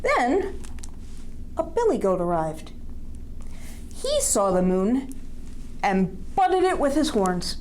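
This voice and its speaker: A woman's voice: mid-range voice, sing-song, slow, long pauses, darkish tone, slight background noise. A woman reading a picture book aloud to young children, expressively, with questions and asides to her listeners.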